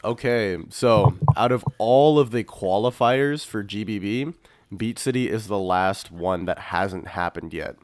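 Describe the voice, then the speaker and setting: A man's voice: fairly low pitch, a little slow, a little mellow, slight background noise. A man co-hosting a podcast, explaining things in casual conversation. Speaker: podcast co-host.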